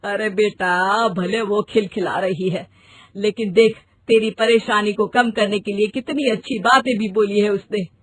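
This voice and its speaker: Fussy older mother-in-law, storybook; woman voices her, husky, slow, dry. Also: mid-range voice, steady tone, no room sound. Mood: fretful. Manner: scolding, dramatic.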